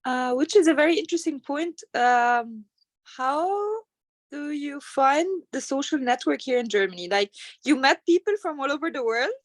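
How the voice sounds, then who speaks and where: Lady host, pretty high voice, asks long questions, dry close sound. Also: moderate pace, no echo. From Egypt. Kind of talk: casual interview.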